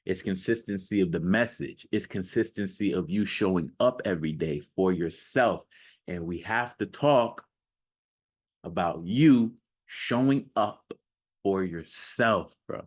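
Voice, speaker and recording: A man's voice: sits low, measured pace. A man speaking as a self-improvement coach in a casual motivational monologue, emphatic and repetitive, addressing the listener directly with rhetorical questions.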